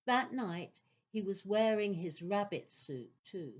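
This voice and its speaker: Slow, theatrical female storyteller. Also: mid-range voice, expressive, muffled recording. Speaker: narrator. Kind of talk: scripted storybook narration.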